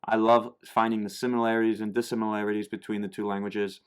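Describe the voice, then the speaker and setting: Rambling American man, lowish voice. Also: little pitch movement, fast, smooth. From United States. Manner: loose and rambling, restarting sentences. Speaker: YouTuber explaining German rap lyrics. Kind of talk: casual explanatory talk.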